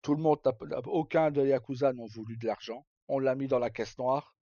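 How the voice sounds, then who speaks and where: Male streamer, relaxed dark tone, colloquial rambling storyteller, slightly muffled audio. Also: medium pitch, brisk, no room sound. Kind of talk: casual live chat, recounting roleplay stories.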